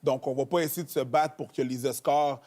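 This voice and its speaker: Un homme, humoriste invité d'un talk-show, qui parle de façon directe et animée. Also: male voice, medium pitch, fairly quick. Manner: blunt, animated. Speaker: comedian guest.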